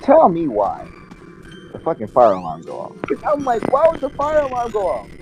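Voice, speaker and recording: A young man telling a casual story to friends in an animated, slangy, profane way, acting out the dialogue. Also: sits high, normal speed, background noise. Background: video game music.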